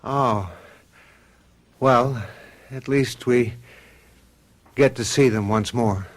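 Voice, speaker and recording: An actor playing a weary, resigned husband in a radio mystery drama, terse and wry. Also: male voice, medium pitch, extremely slow. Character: weary, resigned husband and father stranded in fog.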